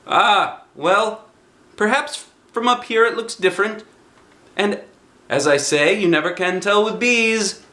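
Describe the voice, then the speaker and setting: Storybook toy bear: very high voice, earnest, fretful, ponderous and slow, slightly silly. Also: male voice, pushed and bright, echoey room. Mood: anxious, musing. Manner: ponderous, solemn, drawn-out phrasing. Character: earnest, anxious, silly old honey-loving toy bear. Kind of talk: children's storybook read-aloud.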